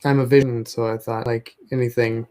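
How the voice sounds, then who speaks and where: A man's voice: mid-range voice, flat delivery, fairly quick, a little mellow. A man co-hosting a casual livestream, an illustrator talking in a hesitant way with dry jokes. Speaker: illustrator and stream co-host.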